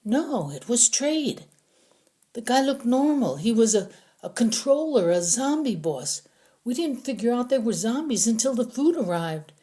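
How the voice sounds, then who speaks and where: Audiobook captive role, acted by the narrator: frightened male scavenger, raised pitch, pleading and explaining anxiously, muted tone. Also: big pitch swings, measured pace, dark tone, exaggerated voice. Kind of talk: audiobook character acting.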